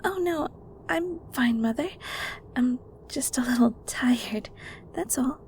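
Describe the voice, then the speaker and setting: A woman's voice: high-pitched, animated, slow pace, a little mellow, a little hiss or hum, full character voice. A woman playing a meek, nervous young adult daughter in an audio roleplay, hesitant, obedient and defensive. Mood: flustered, evasive.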